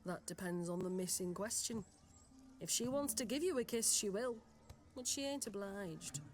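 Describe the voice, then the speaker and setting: A woman's voice: medium pitch, normal speed, close to an everyday voice. Woman doing a plainspoken country villager lady, rustic, music in back. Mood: folksy.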